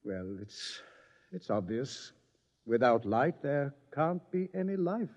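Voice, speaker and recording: An actor playing a wry, authoritative scientist in an old-time science fiction radio drama, speaking deliberately. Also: male voice, mid-range voice, big pitch swings, a little mellow. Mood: uneasy, explaining. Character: wry, authoritative male scientist facing doomsday. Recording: muffled old radio recording, long reverb.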